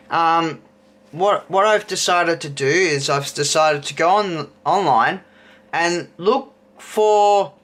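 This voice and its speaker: A man's voice: fairly high pitch, sharp tone. A man in his thirties with an Australian accent, chatting casually to camera and thinking aloud as he rambles. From Queensland, Australia. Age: 30s. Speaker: YouTuber host.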